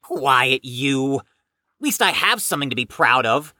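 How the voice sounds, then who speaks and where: A woman acts a studious, self-important young person in an audio drama. The voice is expressive and precise, wordy and pompous, captured very dry. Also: very deep voice, unhurried, no echo, exaggerated voice. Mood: indignant, smug. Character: prim, haughty, studious young know-it-all.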